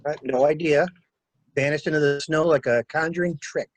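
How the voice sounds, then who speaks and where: A man's voice: fairly high pitch, fairly even intonation, fairly quick, no room sound, close to an everyday voice. A man playing a polite, evasive traveler with clipped, hesitant replies in a murder mystery reading. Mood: matter-of-fact. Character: polite, halting stranded male traveler, evasive witness.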